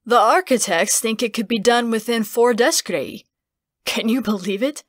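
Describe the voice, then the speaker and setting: A woman's voice: a little high, moderate pace, dry recording, studio quality, close to an everyday voice. A woman voicing a proud fantasy builder in an audiobook, eager, chatty and fond of wordplay. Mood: pleased. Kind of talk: audiobook character acting.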